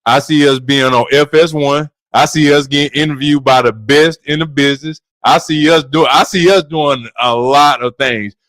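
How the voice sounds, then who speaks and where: A man with a deep voice co-hosting a sports podcast, talking earnestly and repeating himself as he stumbles over words. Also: steady tone, normal speed, few pauses, fairly bright tone, dry recording. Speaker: podcast co-host. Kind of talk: casual podcast chat.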